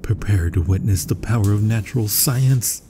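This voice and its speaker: A man playing an obsessed, grandiose mad scientist in a gothic horror ASMR roleplay, with florid, dramatic, often breathy delivery. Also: on the low side, moderate pace, natural voice. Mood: rapturous anticipation. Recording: thunderstorm and rain noise. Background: rain and thunder ambience.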